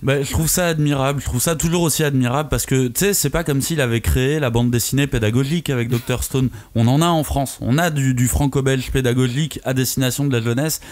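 A man's voice: mid-range voice, fast talker, few pauses, darkish tone. Podcast guy, mid voice, emphatic and into it. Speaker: podcast co-host. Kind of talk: casual podcast discussion.

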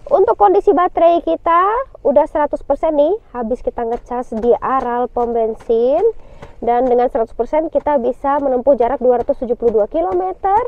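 A woman's voice: high-pitched, brisk, narrow, tinny audio. A woman travel vlogger chatting casually and upbeat to her viewers, dropping English words into her speech. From Indonesia. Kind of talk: casual travel vlog narration.

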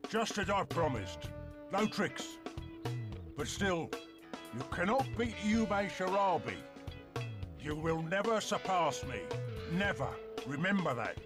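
Gloating old tycoon. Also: male voice, sits high, very animated, takes it slowly, long pauses, music in the background, full character voice. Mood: gloating, scornful. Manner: gloating and domineering. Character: ruthless old man, a tycoon and grandfather. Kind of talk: dubbed game cutscene.

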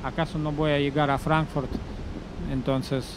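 A middle-aged man slowly recounting his own travels, pausing often, correcting himself and using fillers, speaking into a stand mic outdoors above a beach. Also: on the high side, flat delivery, noisy background. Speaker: travel storyteller. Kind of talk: slow personal travel story. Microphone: stand mic in front of him. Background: music playing behind.

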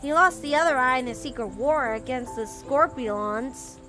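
Woman acting tiny, very high-voiced little girl. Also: moderate pace, music in the background, natural voice. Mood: wistful. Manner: childlike, hesitant, innocent. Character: sweet, earnest, anxious little girl in fantasy world. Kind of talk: fantasy audio roleplay acting.

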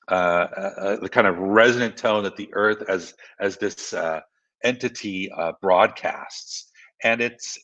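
A man's voice: sits low, fairly bright tone. A man presenting his own artworks on a video call, hesitant with many fillers. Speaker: artist and panel speaker.

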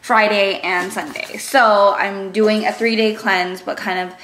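A young woman in her twenties chatting to a handheld camera at arm's length, a little raspy from just waking, upbeat with many fillers. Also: mid-range voice, steady tone, unhurried, a bit bright, slight background noise, low-quality recording. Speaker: vlogger. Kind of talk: casual vlog chat to camera. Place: apartment rooms, gym and car. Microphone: handheld fisheye action camera at arm's length.

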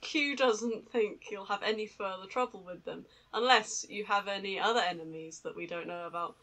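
A woman's voice: medium pitch, moderate pace, a bit bright, poor audio. A woman co-hosting a podcast in casual chat, riffing playfully and swearing freely. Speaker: podcast co-host.

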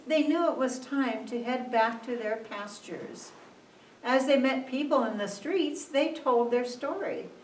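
A woman narrates a scripted devotional story for a puppet show, reading aloud in measured short phrases. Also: a little high. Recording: echoey room from far off, noisy.

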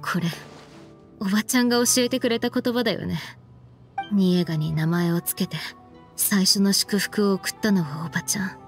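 An actress playing a stern, frank young woman in an anime game, speaking in a firm, reproachful, deliberate way. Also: medium pitch, soft background music, natural voice. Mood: stern, solemn. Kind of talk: anime game voice acting, dramatic dialogue.

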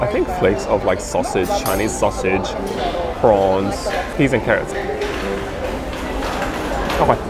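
Young man, low-pitched, chatty food vlogger, close handheld camera, loud music behind. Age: young adult. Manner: chatty, eats while reviewing each dish. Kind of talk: casual food review to camera. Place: large indoor buffet restaurant. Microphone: handheld camera close to face.

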